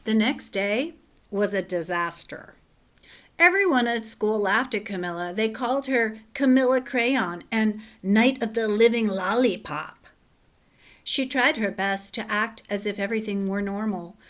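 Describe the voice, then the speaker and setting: A woman teacher reading a children's picture book aloud, relaxed and gently instructive. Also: mid-range voice.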